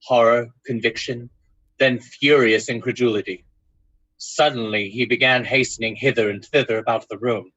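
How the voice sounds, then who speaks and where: A man narrating a ghost story in old-time radio style, with deliberate, suspenseful storytelling. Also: mid-range voice, fairly even intonation, a bit bright, no room sound, lo-fi sound.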